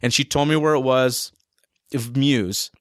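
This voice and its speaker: A young man chats loosely in a podcast interview, leaning on fillers like "you know" and "man". His voice sits in a middle pitch. It is recorded close and dry. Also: a little slow, dry recording. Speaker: musician guest. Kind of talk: casual interview chat.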